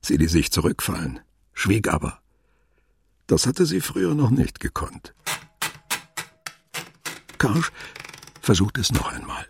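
A man narrating a literary radio play as a sober, observant storyteller with a detached, deliberate delivery. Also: on the low side, wildly swooping pitch, gravelly, background noise, exaggerated voice.

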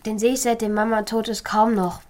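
High child's voice, acted by a woman, eager, earnest, kind-hearted, radio play storytelling. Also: little pitch movement, fairly quick, darkish tone, lightly acted. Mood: secretive, determined. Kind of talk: German children's radio play acting and narration.

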